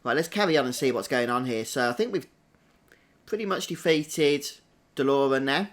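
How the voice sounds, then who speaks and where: A man's voice: medium pitch, animated, normal speed. A man giving casual, chatty gameplay commentary, thinking aloud as a streamer doing a walkthrough.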